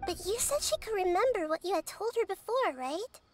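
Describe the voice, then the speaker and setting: Very high, wise little goddess. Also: female voice, animated, music in the background. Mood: curious. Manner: measured, thoughtful, explanatory. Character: small wise childlike goddess girl, calm and curious. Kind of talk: video game voice acting.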